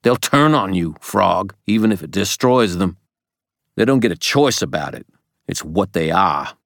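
A man voicing a stern, bitter old wizard grandfather in an audiobook, pleading and scolding forcefully. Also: sits low, lively intonation, a little slow, gravelly, dry recording, studio quality, heavily acted. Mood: bitter warning.

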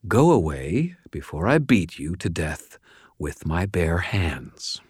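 A man portrays a calm, menacing young male aristocrat for an audiobook. He sounds tired of it all, measured and cutting, recorded very dry. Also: deep voice, breathy edge, no echo, exaggerated voice. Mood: calm menace.

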